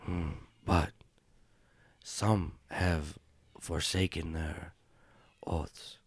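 A man plays an ancient spider-bodied outcast, solemn and world-weary, speaking in a very deep, slow, hushed voice that often drops to a whisper. The halting phrasing suggests someone for whom English is a second tongue. The sound is close and dry, with no room around it. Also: level tone, gravelly, husky, no echo, exaggerated voice. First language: not English. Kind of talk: in-character tabletop roleplay.

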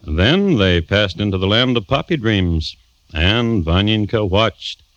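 An actor playing a wry, macabre crime-history host, narrating a 1950s radio drama deliberately and deadpan, with dramatic pauses. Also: male voice, fairly low pitch, slight background noise. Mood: grave, deliberate. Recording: dull, dry vintage radio recording.

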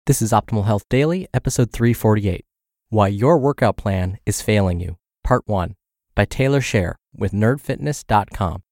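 A man's voice: lowish voice, animated, normal speed, a little mellow, no echo, studio quality. A man hosting a podcast, reading health and fitness blog posts aloud while congested and scratchy from the flu. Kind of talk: read-aloud narration.

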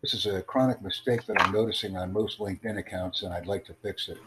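A man hosting a livestream podcast, addressing callers in a deliberate, instructive way. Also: sits low, steady tone. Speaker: podcast host. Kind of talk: livestream chat with online callers. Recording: clearly noisy, humming background.

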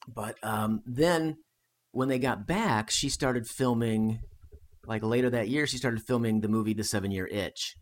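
A man's voice: mid-range voice, a little slow. A man telling history stories in a casual, conversational way as a podcast guest. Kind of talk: casual chat, storytelling about history.

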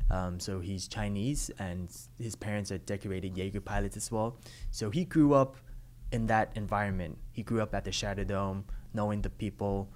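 A young man with a low voice gives relaxed, earnest answers in an interview, with plenty of "um" and "like". He grew up speaking Cantonese and English. Faint background noise sits under the recording. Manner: earnest, relaxed storytelling with fillers. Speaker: actor being interviewed.

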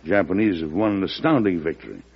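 Gravelly, folksy American president, vintage radio. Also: male voice, low-pitched, lively intonation, fast talker, exaggerated voice. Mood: wry, confiding. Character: shrewd, genial male American president, folksy and frank. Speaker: lead actor and narrator in a radio drama. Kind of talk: old-time radio drama acting. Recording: dull lo-fi vintage radio broadcast.

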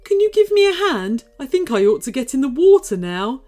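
A woman's voice: high-pitched, very animated, unhurried, darkish tone, pitched above the performer's own voice. A woman voicing a fretful cursed shark. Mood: anxious. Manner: fretful, nervous, then relieved and friendly. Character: anxious cursed male shark, once a snake. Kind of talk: storybook character voice.

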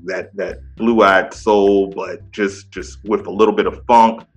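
Deep-voiced man interviewing with enthusiasm. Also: steady tone, takes it slowly, soft background music. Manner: enthusiastic, effusive praise. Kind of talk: casual interview.

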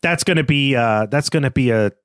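A man with a mid-pitched voice discusses literature as a podcast host. He is analytical and reflective, thinking aloud as he goes, in a dry recording with little room sound. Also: brisk, no echo.

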